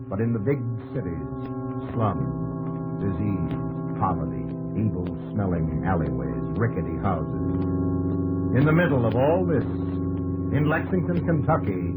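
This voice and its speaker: A man's voice: deep voice, sing-song, a little slow, poor audio. A man narrating a 1950s radio documentary in a grave, theatrical, declamatory style. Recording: old radio broadcast under loud music.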